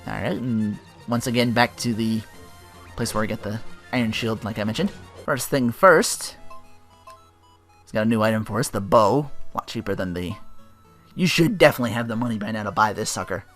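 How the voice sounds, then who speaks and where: A man giving casual, animated, sweary let's play commentary on a video game. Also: lowish voice, fairly quick, soft background music. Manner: profane, animated.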